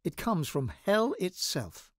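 An actor playing a guarded, anxious scholar wizard in a video game, speaking in an articulate, wry and dramatic manner. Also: male voice, high voice, wildly swooping pitch, slow pace, no room sound, clean studio sound, exaggerated voice. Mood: earnest, troubled.

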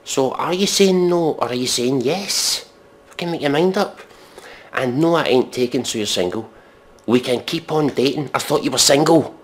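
A man giving mock dramatic readings and sardonic commentary in a deadpan, exasperated, sweary manner. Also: mid-range voice, normal speed. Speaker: comedic commentator.

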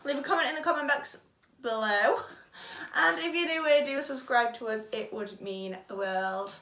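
Bright-voiced young British woman, roomy sound. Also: a little high, moderate pace. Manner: playful, informal. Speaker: vlog host. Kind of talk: chatty unboxing vlog. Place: small home bedroom, indoors. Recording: roomy, distant mic, audible noise.

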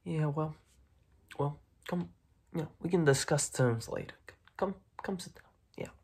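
A young man voicing a smug, menacing mob boss in a soft-spoken, half-whispered ASMR roleplay full of gangster slang. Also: a little high, measured pace, husky. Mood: persuasive. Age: young adult. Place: small dark room indoors.

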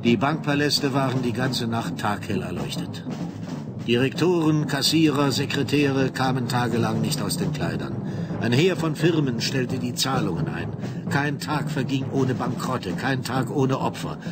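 A man's voice: medium pitch, animated, a little slow, heavy background noise. A man narrating a radio play with a vivid, dramatic reading.

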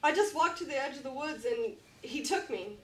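A woman reads a frightened young captive with a high, plaintive voice. She sounds distant in an echoey meeting room at a script read-through. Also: animated, normal speed, a bit bright. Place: conference room around a long table. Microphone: camera mic across the room. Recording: far-off mic, echoey noisy room.

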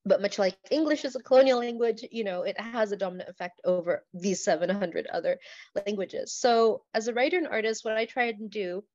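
A woman's voice: mid-range voice, a little fast, darkish tone, dry recording. An Indonesian woman in her thirties, a writer giving an online talk from her couch, chatty and laughing often. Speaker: invited speaker, writer and artist. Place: living room, on a couch. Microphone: laptop webcam on video call. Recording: dull lo-fi video call audio.

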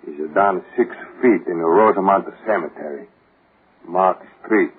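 An actor playing a grumpy innkeeper roused from sleep, grumbling in singsong broken English in an old-time radio drama. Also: male voice, low-pitched, normal speed, husky. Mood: irritated. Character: grumpy male lodging keeper roused from sleep. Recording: muffled old radio recording.

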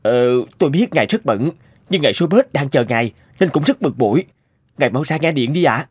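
A man's voice: a little high, very animated, brisk, husky, no echo. A man voicing an anxious, pleading young male office assistant in a cartoon, with a deferential manner. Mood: urgent, polite. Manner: deferential, imploring. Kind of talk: cartoon voice acting.

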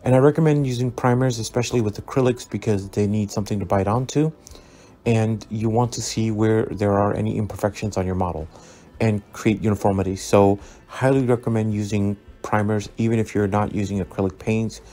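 A man narrating a scale model build as a relaxed tutorial, easygoing and full of ums and uhs as he recommends each step. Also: on the low side, fairly quick. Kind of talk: relaxed instructional voice-over. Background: soft background music.

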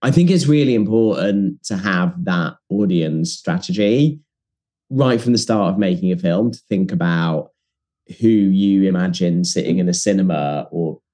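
Deep mellow-voiced man, earnest. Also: normal speed. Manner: thoughtful, earnest, with filler ums and likes. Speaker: documentary producer, guest. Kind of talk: casual interview chat.

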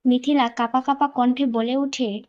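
A woman's voice: sits high, steady tone, normal speed, a little mellow, highs cut off. A woman narrator reading a scripted romantic story.

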